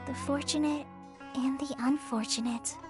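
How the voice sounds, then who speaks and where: A young, tender-hearted adventurer for a video game. The voice is high and earnest, sounding sincere over light background music. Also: female voice, steady tone, unhurried. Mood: pensive. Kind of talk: scripted game dialogue. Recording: soft music underneath.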